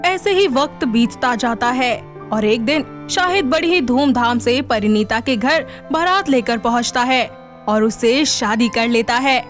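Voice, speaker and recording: A woman reading a scripted story narration for an animated moral tale. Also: on the high side. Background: background music.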